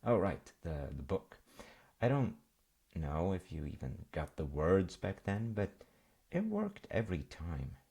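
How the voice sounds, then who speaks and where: A man playing an affectionate dad in a bedtime audio roleplay, speaking softly and hesitantly as he soothes his child. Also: sits low, sing-song, unhurried, breathy edge, full character voice. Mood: tender, nostalgic. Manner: soft, hesitant, murmuring.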